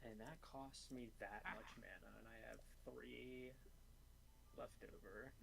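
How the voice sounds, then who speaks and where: A young man talking in a dry, offhand way, half to a friend and half muttering to himself as he plays. His voice sits at a slightly low pitch, with clear background noise behind him. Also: fairly even intonation, slow. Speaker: streamer's friend. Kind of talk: casual chat while playing a card game. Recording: noisy background.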